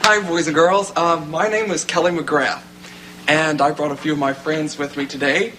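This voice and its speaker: An actor playing a shy, friendly young puppeteer addressing children in a television drama. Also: male voice, fairly high pitch, fairly even intonation, normal speed, background noise, close to an everyday voice. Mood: nervous, friendly.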